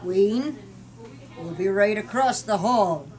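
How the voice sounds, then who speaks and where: A woman voicing a calm, protective father in a storybook reading, quiet, gentle and grave. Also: medium pitch, takes it slowly, far from the mic, natural voice. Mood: reassuring.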